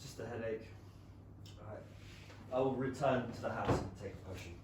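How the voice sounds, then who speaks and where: A man playing a high-minded young Regency gentleman in a stage comedy, formal, measured and dryly earnest. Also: heavy background noise, close to an everyday voice. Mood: formal, reserved. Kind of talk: staged reading of a period comedy play. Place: small theatre stage, captured from a distance. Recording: very echoey, distant theatre stage recording.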